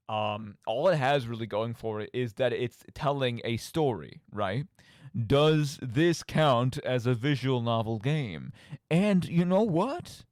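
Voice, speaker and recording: A man rambles casually as a solo radio host, with a very lively, swooping delivery. He has a theatrical streak and talks in chatty asides. His mid-pitched voice sounds close and dry. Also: clean tone, no room sound. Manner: animated, theatrical mock outbursts, conversational asides. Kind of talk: casual rambling monologue about video games.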